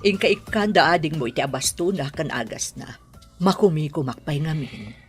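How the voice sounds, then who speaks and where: A woman acting in an Ilocano audio drama as a middle-aged, businesslike mother with a husky voice. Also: low-pitched, animated, moderate pace, faint music behind. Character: businesswoman mother, matter-of-fact with her grown son.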